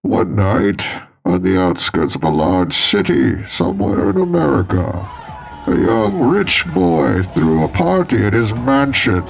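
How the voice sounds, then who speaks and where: Casting: grandiose, menacing villain narrating radio horror stories; slow, drawn-out delivery with a creaky edge. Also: male voice, fairly low pitch, very animated, soft background music, full character voice. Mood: ominous storytelling. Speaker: storyteller. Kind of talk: theatrical horror storytelling, classic radio style.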